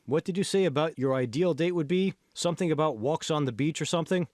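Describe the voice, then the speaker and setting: A man's voice: fairly high pitch, fast talker, darkish tone, no echo, studio quality, lightly acted. A man voicing a confident, encouraging college friend in an audiobook, prodding and persuasive. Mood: insistent encouragement. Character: confident, encouraging young male college friend, insistent coach. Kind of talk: audiobook character dialogue.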